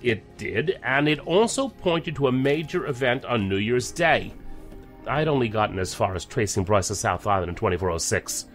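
A man playing a serious, guarded federal agent in a science fiction audio drama, speaking in a measured, formal way. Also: mid-range voice, lively intonation, fairly bright tone, soft background music, lightly acted. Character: guarded, serious male federal agent back from deep cover.

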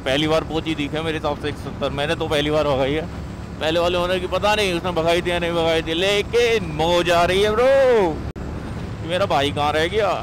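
A young man riding a motorcycle on an expressway, calling out speeds and chatting excitedly to the camera. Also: on the high side, fairly quick. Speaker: motovlogger. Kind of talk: casual riding commentary. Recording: loud wind and engine noise. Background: wind and traffic noise.

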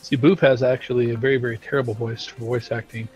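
A man's voice: medium pitch, flat delivery, fast talker, a little mellow, soft background music, rough recording. A man on a game studio's live stream, chatting casually and explaining things at length. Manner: talkative, explains at length with acted-out quotes.

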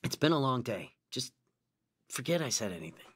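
An actor playing a weary, discontented, evasive young boyfriend with a husky voice in a radio drama. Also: male voice, medium pitch, big pitch swings, a little fast, natural voice. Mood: weary, sullen.

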